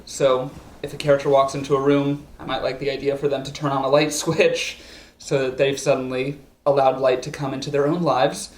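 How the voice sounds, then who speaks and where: A woman's voice: a bass voice, level tone, brisk, a little mellow. A woman filmmaker hosting a casual live stream from a couch, joking dryly and reading out viewer questions. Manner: dry, joking, reads viewer comments. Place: living room couch. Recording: roomy living room echo.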